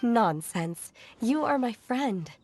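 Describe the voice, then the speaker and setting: A woman playing a reserved young disciple in an English game dub, formal, measured and earnest. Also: on the high side, lively intonation, darkish tone, a little hiss or hum. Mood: kindly insistent. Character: reserved, stiffly polite young female disciple.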